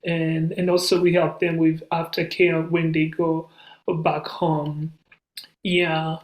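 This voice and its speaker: A man's voice: on the high side, monotone, takes it slowly. Earnest man from Burundi, echoey room. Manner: earnest, storytelling. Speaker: guest, nonprofit founder. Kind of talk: casual interview talk. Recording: roomy echo.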